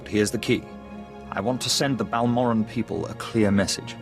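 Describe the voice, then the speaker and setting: A refined, quietly cruel military schemer played by a man in a sci-fi game. His voice is fairly low, precise and smug, under loud music. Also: fairly even intonation, moderate pace, close to an everyday voice. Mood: gleeful, scheming. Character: refined, coldly calculating male Imperial lieutenant, smugly cruel. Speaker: military officer character. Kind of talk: video game voice acting.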